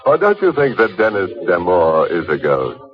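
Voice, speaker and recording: Deep, sly horror-tale host. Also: male voice, huge pitch swings. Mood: sly teasing. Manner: sardonic, folksy, drawn-out. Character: sly, teasing male host of eerie tales. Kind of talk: old-time radio horror hosting. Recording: dull vintage radio recording with music.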